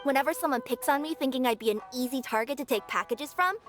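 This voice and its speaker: A woman's voice: high voice, fast, soft background music, natural voice. A woman voicing a feisty young female yokai courier in a video game, proud, dutiful and emphatic. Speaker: parcel courier. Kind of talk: animated video game voice acting.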